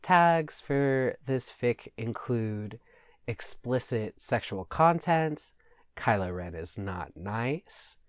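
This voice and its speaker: A man's voice: mid-range voice, animated, takes it slowly, no echo, clean studio sound. A man hosting a podcast and reading fan fiction aloud in a scripted narration style. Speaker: podcast host and story reader.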